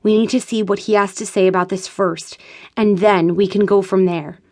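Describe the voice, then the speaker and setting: A woman reading an audiobook gives voice to a frantic, fearful young woman, pitching her speech up and pleading urgently. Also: steady tone, normal speed, a little mellow, natural voice. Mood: insistent.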